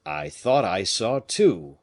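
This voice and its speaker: Brief: humble boatman, deferential, lively delivery, a male reader plays him. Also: sits low, takes it slowly, full character voice. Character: timid male river boat skipper.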